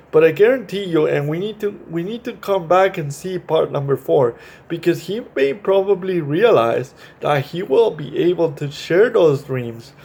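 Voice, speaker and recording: Man, slightly high voice, children's storytime host, halting delivery, repetitive, simple explanations for kids. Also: darkish tone. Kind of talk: reading a picture book and talking to young children.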